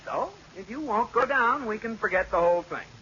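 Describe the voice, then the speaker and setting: Flustered impatient sitcom husband, highish voice that jumps around, old radio. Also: male voice, expressive, brisk, sharp tone. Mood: coaxing. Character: flustered, coaxing husband, impatient but devoted. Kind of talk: 1940s-50s radio sitcom acting. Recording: muffled, noisy old radio recording.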